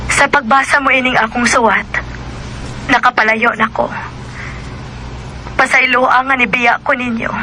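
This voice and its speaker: A woman's voice: a little high, steady tone, unhurried, long pauses, pushed and bright, slight background noise, rough recording. An actress with a Cebuano Filipino accent playing a hurt, plaintive young wife and mother in a radio drama. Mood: sorrowful, resigned. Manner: plaintive, accusing. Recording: radio drama with music underneath.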